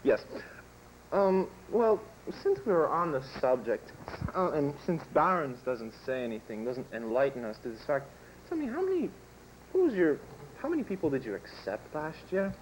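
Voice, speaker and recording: A man's voice: a little high, very animated, normal speed, a little hiss or hum, poor audio. Rambling teenage boy interviewer. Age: teens. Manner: rambling, full of "like" and "um". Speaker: student interviewer. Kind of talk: improvised school TV sketch.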